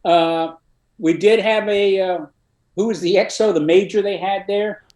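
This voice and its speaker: An older man, a retired army colonel, telling war stories in a dry, matter-of-fact way with many pauses and fillers. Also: high-pitched, steady tone, darkish tone, reverberant. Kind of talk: casual interview storytelling.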